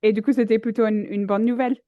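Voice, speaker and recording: A woman's voice: a little high, fairly even intonation, fast talker, no room sound, narrow, tinny audio. A woman hosting a podcast interview, asking friendly questions, with a slight cold. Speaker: podcast host. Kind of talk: casual interview.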